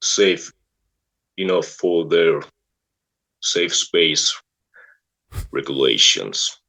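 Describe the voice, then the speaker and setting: Slow, deep-voiced musician. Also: male voice, very animated, vocal fry, sharp tone. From Finland. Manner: slow, hesitant, dry and wry. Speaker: guest, band frontman. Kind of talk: interview answers.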